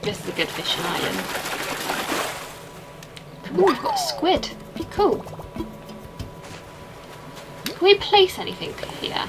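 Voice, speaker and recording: A woman's voice: very high voice, wildly swooping pitch, slow pace, long pauses, over music. A young woman with an English accent, chatting casually and rambling while she plays a game and answers her chat. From England. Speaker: streamer.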